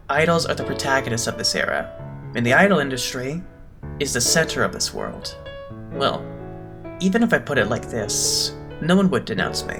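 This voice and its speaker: A man voicing a composed, eloquent young male idol leader in an anime fandub, measured, polite and a little pompous. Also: mid-range voice, close to an everyday voice. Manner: measured, pompous, smoothly condescending. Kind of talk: anime-style fandub voice acting.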